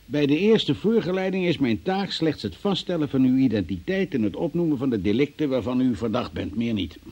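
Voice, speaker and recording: An actor playing a formal, businesslike examining magistrate in a Dutch-language radio play. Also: male voice, medium pitch, very animated, brisk, warm tone. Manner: formal, official, procedural phrasing. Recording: dull, muffled old radio recording.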